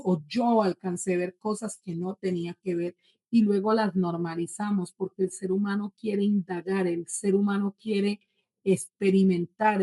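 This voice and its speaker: Female, 40s, Colombian accent, mid-pitched voice. Devout, earnest guest sharing a personal testimony in measured phrases. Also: dark tone, poor audio. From Bogotá, Colombia.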